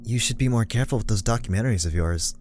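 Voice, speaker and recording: A man acting a protective, suspicious character in an amateur Minecraft roleplay, speaking in a measured, chiding way. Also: lowish voice, a little mellow, faint music behind, close to an everyday voice.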